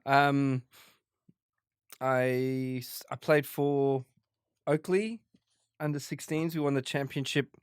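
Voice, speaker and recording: A man in his forties with a faint South African accent under an Australian one, telling stories in a relaxed interview, full of restarts and fillers. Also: mid-range voice, slow pace, long pauses, clean tone, no echo, studio quality. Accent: faint South African, mostly Australian. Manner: rambling, many restarts and fillers. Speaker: guest comedian. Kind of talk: casual interview chat.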